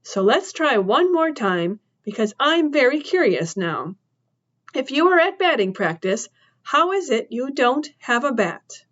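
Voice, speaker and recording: Woman doing a hard-eyed farm woman, faint lilting accent, sharp questions, slow, dull lo-fi sound. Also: sits high, very animated, voice pushed up, full character voice. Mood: interrogating. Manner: sharp, probing, sing-song hint of accent. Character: tough one-legged woman, middle-aged, faint accent, wary.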